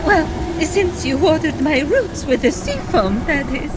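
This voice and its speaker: A woman's voice: sits high, animated, measured pace, heavy background noise. A woman playing a theatrical goddess of love in a video game, airy and formal in manner, with music behind her. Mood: serene, polite. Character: goddess of love, gentle, serene, then dramatically distraught. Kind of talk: animated video game acting.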